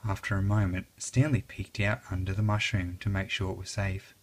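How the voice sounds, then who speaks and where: A reader narrating a children's story aloud in an expressive, dramatic way. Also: deep voice, moderate pace.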